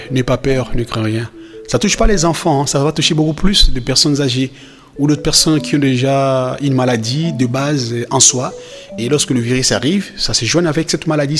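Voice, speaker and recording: A man's voice: medium pitch, natural voice. A man playing a reassuring father in a cartoon, explaining things patiently and a little hesitantly to his children. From Democratic Republic of the Congo. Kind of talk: animated film dialogue, semi-improvised explanation.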